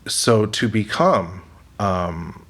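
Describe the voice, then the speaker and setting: Canadian man telling animated stories. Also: lowish voice, extremely slow, breathy edge. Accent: North American. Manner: animated storyteller, acts out both sides of conversations. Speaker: actor guest. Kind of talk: candid interview storytelling.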